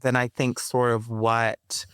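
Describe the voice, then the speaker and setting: A woman's voice: very deep voice, flat delivery, slow pace, fairly bright tone, clear, resonant tone, no echo. A woman guest on a podcast interview, speaking thoughtfully with frequent fillers and restarts, laughing now and then.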